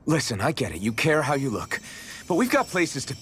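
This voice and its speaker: A man's voice: mid-range voice, very animated, fairly quick. A man playing an earnest, hot-headed young rebel fighter in a video game cutscene, speaking emphatically. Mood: casual. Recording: loud music behind the voice. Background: loud game soundtrack music.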